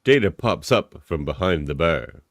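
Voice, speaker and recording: A man's voice: deep voice, animated, measured pace, dry recording, clean studio sound. A man reading stage directions as an amused narrator of an amateur radio play.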